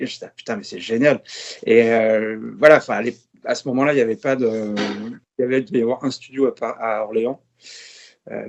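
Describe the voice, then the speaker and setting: Guest spot: laid-back French man in his fifties, middle pitch, rambling anecdotes. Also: dull audio. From France. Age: 50s. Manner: relaxed storytelling, quick asides and laughs. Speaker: guest tattoo artist. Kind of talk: casual podcast chat.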